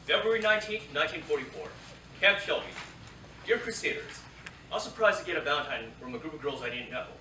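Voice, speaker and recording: An earnest young soldier acted by a man in a staged letter reading. The voice is forceful and slightly high, set back from the mic in an echoey hall with faint noise. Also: lively intonation, quick pace, distant mic. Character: young Hawaiian-born Japanese-American soldier, shy, earnest.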